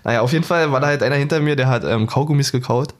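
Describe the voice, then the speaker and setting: A man's voice: medium pitch, rapid-fire. A man co-hosting a podcast, telling joking, ranting anecdotes in casual chat. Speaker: podcast co-host.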